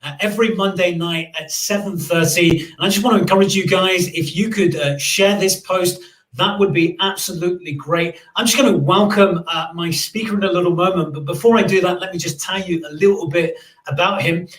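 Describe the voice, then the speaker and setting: Chatty high-voiced male podcast host. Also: steady tone, brisk, fairly bright tone, roomy sound, poor audio. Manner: chatty, many fillers and restarts. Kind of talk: casual live-stream interview.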